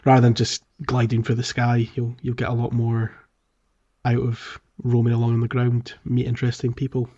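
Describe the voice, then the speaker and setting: A man co-hosting a podcast, casually discussing a video game in a halting, thinking-aloud way. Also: medium pitch, completely flat delivery, dark tone. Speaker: podcast co-host. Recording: slightly dull, lo-fi podcast audio.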